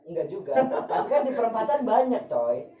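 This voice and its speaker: Mellow-voiced young man in a chatty, joking mood. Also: high voice, very animated, a little fast. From Java, Indonesia. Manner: joking, laughs often, slangy. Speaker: guest. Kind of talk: casual chat with some singing. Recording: echoey, distant, dull room sound.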